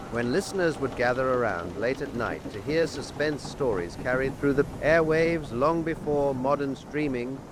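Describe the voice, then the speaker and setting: A man hosting a radio drama show, reading scripted, atmospheric narration. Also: mid-range voice. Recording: clearly noisy outdoor-like ambience under voice.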